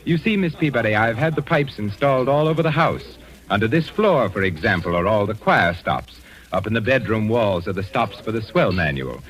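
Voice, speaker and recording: An actor playing a courtly, cultured Victorian widower with a formal, effusive manner in 1940s radio drama style. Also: male voice, fairly low pitch, animated, fairly quick, fairly bright tone, low-quality recording. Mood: rapturous, suave. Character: courtly, cultured Victorian widower obsessed with his pipe organ. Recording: old radio recording, faint music behind.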